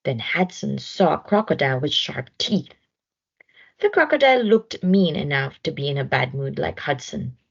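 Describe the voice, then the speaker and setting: A woman reading a children's story aloud in an expressive narration style, on a slightly dull recording. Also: deep voice, moderate pace, creaky, breathy edge. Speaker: storybook reader.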